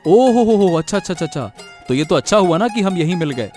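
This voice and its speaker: A man's voice: high voice, expressive, fast, a little mellow. A man voicing a scheming, fawning village troublemaker in a cartoon moral story, with a wheedling manner. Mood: eager, sly. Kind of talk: animated moral story dialogue in Hindi. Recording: music behind the voice.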